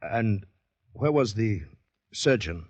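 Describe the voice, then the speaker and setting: An actor playing an uneasy, guarded young man in a measured, grave 1940s radio drama style. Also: fairly low pitch, expressive, darkish tone, no room sound. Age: young adult. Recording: dull vintage 1940s radio recording.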